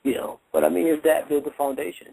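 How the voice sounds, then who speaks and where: A man's voice: on the high side, flat delivery, fast, creaky, mellow, poor audio. A man talking casually as a boxing trainer in a radio interview, explaining his points with emphasis and repetition. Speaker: boxing trainer, guest analyst.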